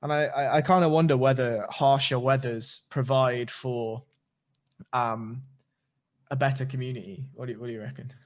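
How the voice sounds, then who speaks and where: A man's voice: mid-range voice, fairly even intonation, moderate pace, muffled recording. A man hosts a casual podcast in a mid-range voice. He talks animatedly, swears freely, and leans into his questions and theories. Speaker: podcast host. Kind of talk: casual interview chat.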